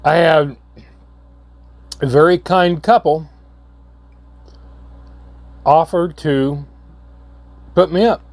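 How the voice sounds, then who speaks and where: A hoarse man, coming down with a cold, giving a halting, earnest update to his viewers. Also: a little high, steady tone, darkish tone, no echo. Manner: halting, repeats words, slow and earnest. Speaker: channel host. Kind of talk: casual vlog update.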